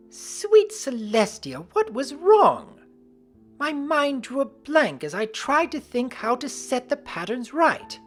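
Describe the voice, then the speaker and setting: A woman narrating in the first person as an earnest adult pony mare who works the weather, reading fan fiction expressively. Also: a little high. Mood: distressed.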